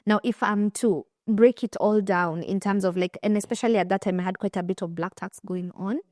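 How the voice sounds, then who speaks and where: Kenyan woman, lively stop-start storytelling. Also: mid-range voice, brisk, no echo, clean studio sound. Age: young adult. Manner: animated storytelling with frequent restarts. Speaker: podcast host and finance coach. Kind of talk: casual interview chat.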